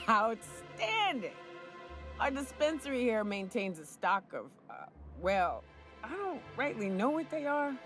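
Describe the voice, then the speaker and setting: Drawling hungover frontier hunter. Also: female voice, a little high, big pitch swings, a little slow, fairly bright tone. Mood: wheeling, dealing. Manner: coarse, swearing, folksy. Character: rough, hard-drinking frontier woman hunter guide. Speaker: hunting guide. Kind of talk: video game voice acting. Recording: game audio with faint music.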